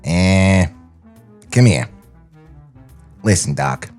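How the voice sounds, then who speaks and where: A man's voice: sits low, lively intonation, extremely slow, darkish tone, faint music behind, exaggerated voice. A man voicing a cocky, wisecracking cartoon rabbit with a nonchalant wise-guy delivery. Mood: confiding.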